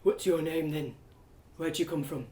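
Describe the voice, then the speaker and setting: A woman's voice: deep voice, steady tone, moderate pace, mellow, echoey room, lightly acted. A woman voicing a kind, friendly young man, an innkeeper's son in a storybook reading, with solicitous, colloquial phrasing. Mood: friendly concern.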